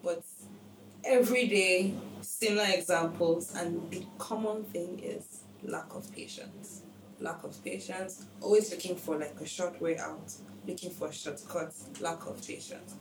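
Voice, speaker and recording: A young woman from Nigeria, talking candidly and reflectively in a casual chat, often pausing on fillers. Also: lowish voice, fairly even intonation, distant mic. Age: young adult. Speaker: podcast guest. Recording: echoey room with background noise.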